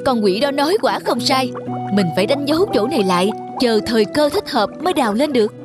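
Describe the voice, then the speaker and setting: High-voiced, meek young peasant. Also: female voice, expressive, a little fast. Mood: earnest. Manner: polite, deferential. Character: meek, honest young peasant man, hard-working. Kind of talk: children's folk-tale cartoon dubbing. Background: background music.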